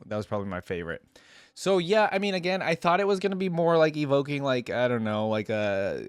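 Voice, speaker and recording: A man's voice: lowish voice, clear, resonant tone, no room sound. A man hosting a reaction video, giving casual commentary in an informal, rambling way as he thinks aloud. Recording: music playing behind the voice. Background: music.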